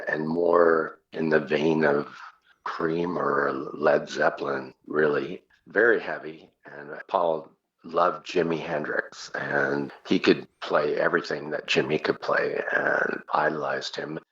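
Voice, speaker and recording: A man's voice: rumbling low, takes it slowly, a bit bright. A man reminiscing in casual conversation as an interview guest, telling stories and acting out short quotes, on a dull, muffled recording. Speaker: interview guest, rock singer.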